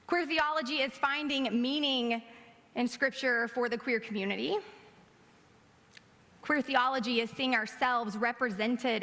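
A woman preaches a sermon in a bright, forceful, fairly high voice. The long reverb of a large hall surrounds her words. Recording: echoey hall, played-back service audio.

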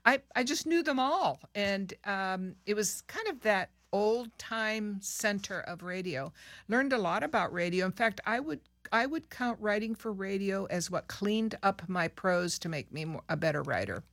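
A woman's voice: medium pitch, lively intonation, moderate pace, dry recording. An older woman who grew up in Peru, reminiscing in a casual interview about her years writing for radio, rambling with restarts. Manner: rambling, warm storytelling with restarts. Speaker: guest, former radio writer.

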